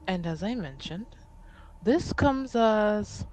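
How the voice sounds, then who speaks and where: A woman voicing a weary, formal noblewoman in a tabletop game, with a measured, guarded and exasperated manner. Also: medium pitch, animated, a little mellow, pitched above the performer's own voice. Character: weary, formal noblewoman acting for her house.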